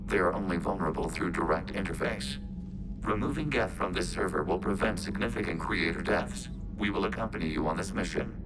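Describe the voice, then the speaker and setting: Deep, synthetic machine intelligence. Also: male voice, poor audio. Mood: measured, formal. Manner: measured, clipped, formal phrasing, calling itself we. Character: calm, logical robotic alien machine intelligence, formal and literal. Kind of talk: video game voice acting. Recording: game music behind the voice.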